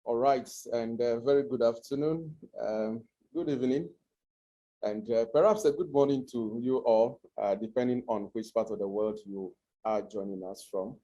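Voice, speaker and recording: A middle-aged man, slightly hoarse from a cold, presenting an online information session with many hesitant pauses. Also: medium pitch, mellow, muffled recording. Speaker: guest presenter.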